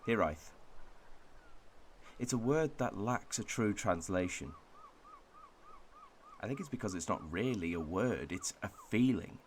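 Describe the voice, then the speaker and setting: A man playing a wistful Welshman, delivering a pensive, measured monologue in a radio drama. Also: on the low side, lively intonation, a little hiss or hum. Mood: melancholy, conflicted. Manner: pensive, measured, with thoughtful pauses. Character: wistful Welshman reflecting on his home village and heritage.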